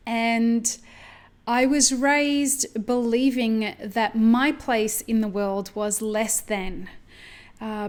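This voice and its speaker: An Australian woman hosting a podcast, talking candidly and reflectively on her own, rambling a little and swearing now and then. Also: a little high. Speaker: podcast host. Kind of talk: solo podcast monologue.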